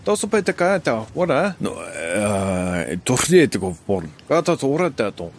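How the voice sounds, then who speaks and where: An actor playing a wary nomad man who speaks a strange made-up language, with a halting, hesitant delivery. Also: on the low side, very animated, vocal fry, a little hiss or hum, full character voice. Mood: wary, questioning. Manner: halting foreign-sounding gibberish, hesitant.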